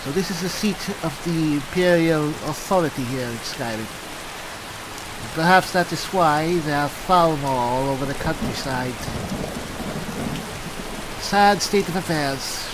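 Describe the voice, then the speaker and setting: A man's voice: on the high side, a little slow, close to an everyday voice. A man voicing a genial, chatty armored cleric adventurer in game roleplay, musing and conversational. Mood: chatty, explanatory. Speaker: gamer roleplaying his character. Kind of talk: in-character game roleplay narration. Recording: hissy, rain noise throughout. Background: falling rain.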